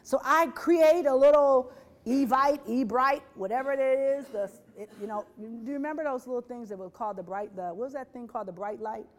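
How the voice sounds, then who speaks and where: High-voiced woman giving a lively talk. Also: brisk. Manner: rhetorical, repeats phrases, asks the audience questions; voices quoted people with lively shifts. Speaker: speaker on workplace diversity. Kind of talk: presentation to an audience.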